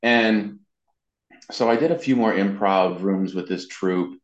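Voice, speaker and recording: A man's voice: lowish voice, measured pace. A middle-aged man chatting casually as an interviewee, telling anecdotes in a conversational storytelling way. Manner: chatty storyteller, acts out quoted dialogue. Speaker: interviewee, amateur voice actor. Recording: dull, roomy, echoey sound.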